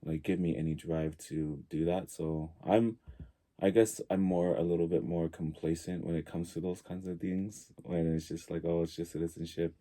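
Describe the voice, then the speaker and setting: A man in his early forties from Tonga, talking casually and reflectively as a podcast guest, halting as he thinks aloud. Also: deep voice, flat delivery, dark tone. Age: early 40s.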